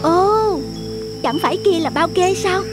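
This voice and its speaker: A woman voicing an eager, stubborn, curious young rooster in an animated children's story, insistent and exclaiming. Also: extremely high pitch, measured pace, over music.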